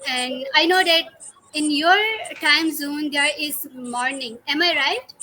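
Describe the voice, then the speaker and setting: High, bright-voiced female podcast interviewer. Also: a little hiss or hum, poor audio. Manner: halting, chatty non-native English. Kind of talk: casual remote video interview.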